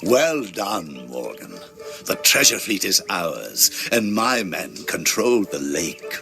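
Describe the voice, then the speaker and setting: An actor playing a stern, scheming old knight commander in a video game, imperious and formal. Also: male voice, sits low, sing-song, slow pace, vocal fry, exaggerated voice. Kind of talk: video game cutscene acting. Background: faint music.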